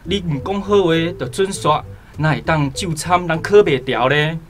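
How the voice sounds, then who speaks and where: An earnest young scholar, played by a man in a radio drama. His voice is high and pleading, that of the friend who keeps the peace. Light music plays behind him. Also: normal speed, lightly acted. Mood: reproachful. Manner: remonstrating, pleading. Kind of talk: Taiwanese radio drama acting.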